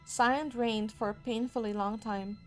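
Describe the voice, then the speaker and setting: A woman's voice: a little high, steady tone, normal speed. A woman game streamer reading a horror visual novel aloud, dramatically, with spontaneous asides. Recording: music behind the voice. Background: game music.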